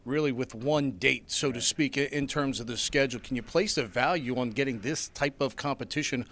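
A middle-aged man hosts a postgame interview. He has a medium-pitched voice and asks long, polished setup questions. He holds a handheld mic indoors. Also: a little fast, fairly bright tone. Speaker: sports interviewer. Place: indoors before a sponsor backdrop.

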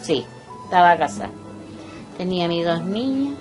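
An older Chilean woman recalling her life slowly and haltingly, pausing often to remember. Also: fairly low pitch, lively intonation, music in the background. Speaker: interviewee. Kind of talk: personal recollection. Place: indoors, small room by a window.

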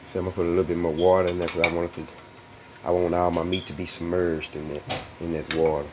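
A man's voice: deep voice, little pitch movement, fast talker, noisy background. A man in his thirties filming himself on a phone in a small home kitchen, talking in a laid-back, slangy way as he shows a recipe. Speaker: cooking channel host. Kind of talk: casual home cooking vlog. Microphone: phone camera held at arm's length.

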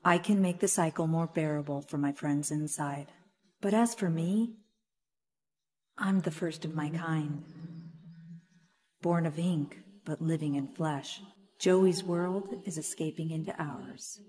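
A woman voicing an earnest, resolute young heroine in a video game, with emotive, deliberate delivery. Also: low-pitched, darkish tone, big-room sound. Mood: solemn, reflective.

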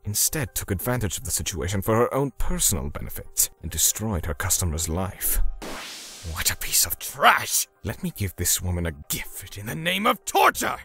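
Low, grim man acting as a vengeful torturer. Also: big pitch swings, moderate pace, pushed and bright, exaggerated voice. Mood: disgusted. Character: grim, self-righteous male torturer seeking vengeance for victims. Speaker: narrator. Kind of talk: dramatic manga dub narration. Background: music.

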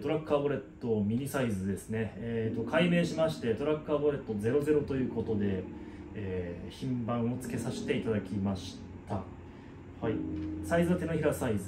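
A man's voice: medium pitch, background noise. A man in his thirties giving a casual, conversational product walkthrough as a craftsman, explaining details as he goes. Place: small indoor room with product shelf. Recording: roomy echo, guitar music behind. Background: guitar music.

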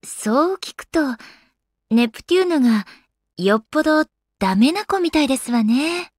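A woman's voice: high-pitched, lively intonation, normal speed, a little mellow, no room sound, studio quality. A woman voicing an elegant young goddess in a video game, with polite, ladylike, composed speech. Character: elegant young goddess, refined lady and avid gamer. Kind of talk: Japanese video game character voice acting.

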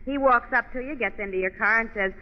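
A woman's voice: a little high, fast talker, long reverb tail, close to an everyday voice. Actress as a sardonic gangster woman. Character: skeptical, sardonic gangster's female driver. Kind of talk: 1940s radio acting. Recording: noisy, dull old radio recording.

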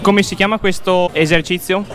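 A man's voice: sits high, fairly even intonation, moderate pace, a loud crowd. A young man narrating a playful gym vlog with self-mocking jokes, often breathless from exercising in a large indoor gym. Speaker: vlogger. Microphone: action camera mic.

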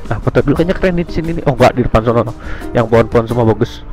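A man's voice: mid-range voice, rapid-fire, darkish tone, soft background music. A man with a Jakarta-area Indonesian accent chats casually to viewers as a motovlogger, in a slangy, exclamatory way, while riding outdoors. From Indonesia, Tangerang near Jakarta. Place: outdoors on a moving motorbike on a country road.

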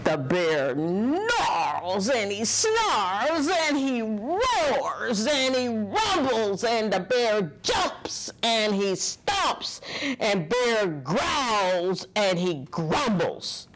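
A middle-aged woman reading a picture book to children in a deliberate, dramatic, expressive style. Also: fairly high pitch, poor audio. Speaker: children's story reader. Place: indoor office room. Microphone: lapel.

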